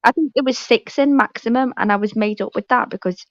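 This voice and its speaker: A woman guesting on a livestream, her voice a little high, throwing cheeky sweary comebacks. The sound is close and dry. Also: brisk, no echo, dull audio. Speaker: streamer guest. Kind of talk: casual banter.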